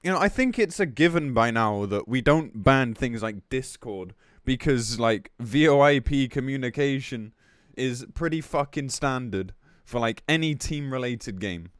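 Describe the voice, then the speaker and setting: A man's voice: mid-range voice, lively intonation, a little slow, hardly any pauses, smooth, no room sound, studio quality. A man live-streaming in a casual, blunt, exasperated way, commenting between bits of reading aloud and swearing freely.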